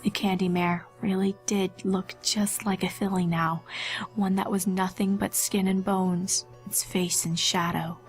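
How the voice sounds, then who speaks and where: Female narrator for a horror fan fiction reading: mid-pitched, very even delivery, faint music underneath. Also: unhurried.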